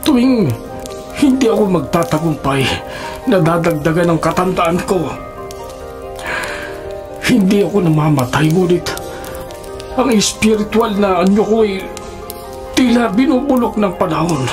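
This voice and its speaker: A man playing the cursed ghost of an aged man, sorrowful and menacing, in slow, formal radio drama style. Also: high voice, lively intonation. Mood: grieving. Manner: slow, formal, archaic, theatrical delivery. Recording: loud dramatic music behind. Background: dramatic music.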